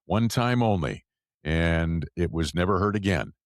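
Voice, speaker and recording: A man with a deep voice works as a radio and podcast host. He moves between announcing, sponsor reads and casual interview talk. The sound is dry and close, with very little room in it. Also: expressive, a little slow, dry recording.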